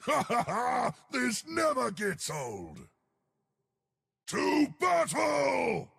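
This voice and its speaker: A hearty living rock giant from a video game, brawling yet good-natured. The voice is very rough and husky, delivered slowly, with big booming swoops in pitch. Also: male voice, wildly swooping pitch, fairly bright tone, raised voice, heavily acted. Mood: boisterous. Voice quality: gravelly, husky. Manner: booming, hearty, slow and emphatic. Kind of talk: video game character voice lines.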